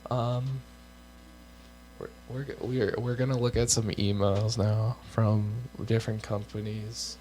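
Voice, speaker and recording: A man's voice: on the low side, little pitch movement, measured pace, dark tone, slight background noise, poor audio. A young man from the United States on a casual co-hosted show, reading health guidelines aloud with offhand joking asides, and he is losing his voice. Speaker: co-host.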